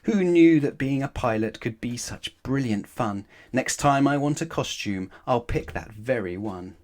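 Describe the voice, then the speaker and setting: A man's voice: mid-range voice, animated, a little slow, close to an everyday voice. Man playing a young girl pilot, eager, adventurous, kind-hearted, storybook character. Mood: delighted.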